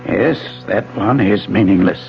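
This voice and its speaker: Smug suave husband, lecturing, gravelly edge, muffled 70s radio drama. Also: male voice, fairly low pitch, a little slow, a little hiss or hum. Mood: intent, lecturing. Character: suave, condescending husband and paranormal researcher. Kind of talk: 1970s radio drama acting. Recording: muffled 1970s AM radio broadcast.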